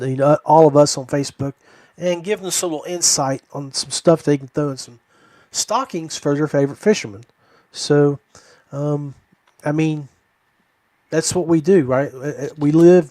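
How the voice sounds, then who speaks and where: A man's voice: a little high, darkish tone, no echo. An American man hosting a live podcast in a casual, informal way. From United States, West Virginia. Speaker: podcast host.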